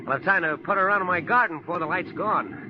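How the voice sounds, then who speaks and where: A man's voice: high-pitched, fast, background noise, close to an everyday voice. An actor playing a polite, chatty but sinister gunman in a 1940s radio drama. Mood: genial, chatty. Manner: mild, courteous chatter that turns to menace. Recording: dull lo-fi 1940s radio recording.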